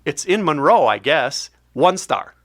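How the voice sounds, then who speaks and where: A man hosts a comedy podcast and tells rambling, animated stories. His voice sits a little high for a man. The tone is loose and casual. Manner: rambling, quick, animated storytelling. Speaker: podcast host. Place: basement studio.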